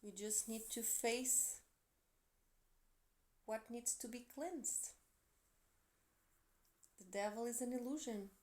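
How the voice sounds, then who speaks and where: A woman from Portugal, speaking English as a second language with a Portuguese accent, giving an earnest, encouraging tarot reading with soft, quiet asides. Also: on the high side, slow, long pauses. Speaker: tarot reader. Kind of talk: tarot reading for a zodiac sign.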